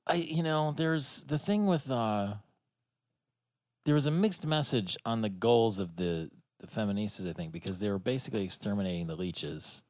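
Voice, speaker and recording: Halting, chuckling man in a casual chat. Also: lowish voice, lively intonation, a little mellow. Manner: halting, restarts, laughs often. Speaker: podcast co-host.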